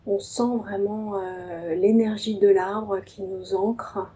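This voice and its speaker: Slow, soft-spoken woman with a mellow voice. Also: mid-range voice, fairly even intonation, highs cut off. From France. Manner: soft-spoken, hesitant with many fillers. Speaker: tea ceremony host and guest. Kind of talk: reflective personal testimony.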